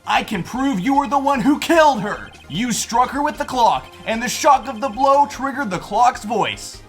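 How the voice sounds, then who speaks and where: A man's voice: very high-pitched, lively intonation, normal speed. A man voicing an earnest young defense attorney in a video game fan dub, speaking assertively and with accusing emphasis. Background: game music.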